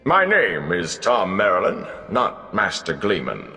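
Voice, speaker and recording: A man's voice: wildly swooping pitch, sharp tone, in a large hall, faint music behind, full character voice. A man voicing a boastful, theatrical old male bard who talks with grand, scornful flair. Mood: grandiose. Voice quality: booming, scornful. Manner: grand, drawn-out delivery.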